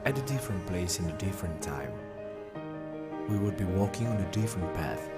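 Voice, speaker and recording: A low-voiced man narrates a promotional video with wide, dramatic swings in pitch. He speaks slowly and loftily, and loud music plays under him. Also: big pitch swings. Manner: slow, lofty and dramatic. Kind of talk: scripted inspirational narration.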